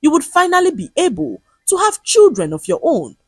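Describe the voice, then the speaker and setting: A woman's voice: sits high, huge pitch swings, normal speed, no room sound, studio quality, voice pushed up. A woman voicing a cruel, jealous husband in a folktale, scornful, accusing and domineering. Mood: bitter, mocking.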